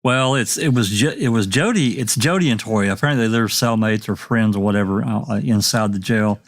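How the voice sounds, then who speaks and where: Male host, low voice, casual and halting, talking through recordings. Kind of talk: casual discussion of recorded calls.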